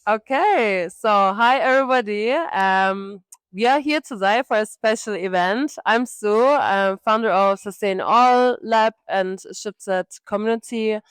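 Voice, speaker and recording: A woman moderates a live panel talk, pausing often on fillers as she frames her questions. Her voice is very clear and the sound is dry and close. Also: mid-range voice, no room sound. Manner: hesitant, many fillers and likes. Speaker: podcast host and panel moderator.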